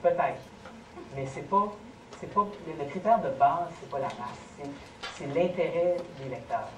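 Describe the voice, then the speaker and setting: A man with a Québécois accent, answering audience questions in a measured, explanatory way as a guest speaker at a lecture. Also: on the high side. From Quebec. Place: college lecture room. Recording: echoey, noisy college room, distant mic.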